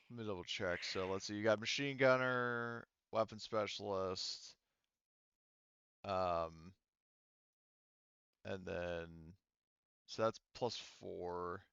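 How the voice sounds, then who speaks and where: A man acting as game master of a tabletop roleplaying game, explaining rules haltingly as he thinks aloud. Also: low voice, a bit bright, clean tone, dry recording, dull audio.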